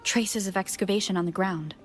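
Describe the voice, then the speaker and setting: A woman's voice: medium pitch, brisk, soft background music, natural voice. An actress playing an observant young female explorer in a game, curious and plain-spoken. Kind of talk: video game cutscene dialogue.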